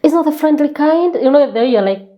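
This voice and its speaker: Mellow Kenyan woman telling stories emphatically. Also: high voice, lively intonation, brisk. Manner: emphatic, repeats phrases, asks rhetorical questions. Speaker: guest author. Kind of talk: animated conversational storytelling.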